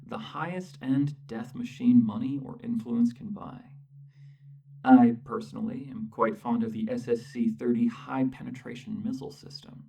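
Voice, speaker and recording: Casting: condescending AI war-mech captain performed by an ASMR actor, mid-pitched, gravelly fry under a breathy near-whisper, bossy and clipped. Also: lively intonation, warm tone, far from the mic. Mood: smug, proud. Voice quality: breathy, often dropping to a whisper. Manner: condescending, clipped, commanding, with deliberate pauses. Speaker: audio roleplay performer. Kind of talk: ASMR roleplay, soft close-up monologue.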